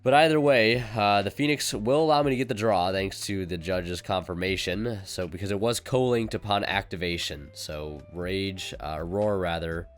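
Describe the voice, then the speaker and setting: Low-voiced man with a cold, commentating. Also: normal speed, hardly any pauses, clear, resonant tone. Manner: relaxed and conversational, many fillers and self-corrections. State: has a cold, voice slightly off. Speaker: channel host commentating his own card game match. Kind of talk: casual voice-over commentary. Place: voice-over recorded separately from the match footage.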